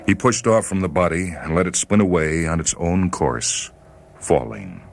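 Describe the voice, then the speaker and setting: Very deep-voiced male story narrator. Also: animated, creaky. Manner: grave, measured, theatrical emphasis. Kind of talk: dramatic scripted short-story narration. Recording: faint noise and ambient sound bed. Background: faint ambient sound.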